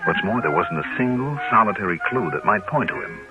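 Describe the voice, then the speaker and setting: A man's voice: lowish voice, very animated, a little fast. An actor playing a shrewd American insurance investigator who narrates his case in clipped hard-boiled style, in an old radio drama. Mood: brooding narration. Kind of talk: 1950s radio detective drama acting and narration. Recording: muffled old radio recording, loud music.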